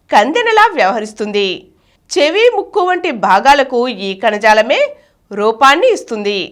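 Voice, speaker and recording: A woman's voice: sits high, a bit bright. A woman presenter reading a scripted educational explainer on health science.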